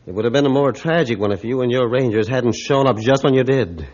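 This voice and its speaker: Steadfast actor as a ship's captain, vintage radio. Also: male voice, mid-range voice, fairly quick, a little mellow, lightly acted. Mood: calm, gracious. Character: stern, steadfast male ship captain facing down a mutiny. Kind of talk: 1950s radio adventure acting. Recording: dull lo-fi 1950s radio recording.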